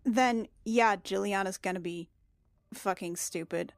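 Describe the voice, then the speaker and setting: A woman's voice: mid-range voice, unhurried, creaky, dry recording. A woman playing a tabletop role-playing game, chatting casually with an irreverent, sweary manner.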